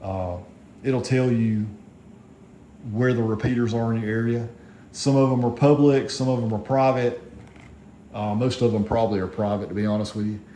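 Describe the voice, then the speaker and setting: Mellow-voiced man in an echoey room. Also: medium pitch, steady tone, normal speed, long pauses. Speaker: radio hobbyist reviewing gear. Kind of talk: casual product review vlog. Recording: echoey room, faint background noise.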